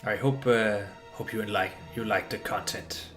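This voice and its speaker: A man's voice: lowish voice, steady tone, measured pace, fairly bright tone. A man from Denmark, a native Danish speaker, chatting politely and casually while streaming a game, often restarting his words. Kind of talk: casual live-stream chat while gaming. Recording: game music playing behind.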